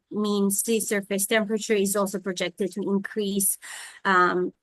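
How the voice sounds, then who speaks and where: A woman lecturer gives a scientific talk over a video call, hesitating often with fillers and restarts. Her voice sits at a middle pitch, and the sound is dry with no room echo. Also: fairly bright tone. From Serbia. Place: home in Thailand during monsoon rain.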